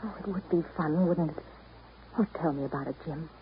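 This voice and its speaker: Actress as teasing sweetheart on tinny old radio. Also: female voice, low-pitched, fairly quick, natural voice. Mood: teasing, curious. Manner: intimate, teasing, coaxing. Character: affectionate, forthright woman, a man's teasing sweetheart. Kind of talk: 1930s radio drama acting. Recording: tinny, noisy 1930s radio recording.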